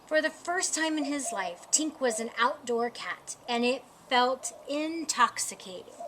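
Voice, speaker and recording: A woman reading a children's picture book aloud as a storyteller, with an expressive, deliberate storytime delivery. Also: high-pitched, slight background noise. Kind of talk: scripted children's story narration.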